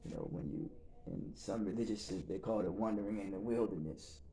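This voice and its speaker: A man leading a recovery group session in a calm, measured way, with encouraging murmurs and thoughtful pauses. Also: lowish voice, vocal fry, slight background noise, poor audio. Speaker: facilitator and reverend.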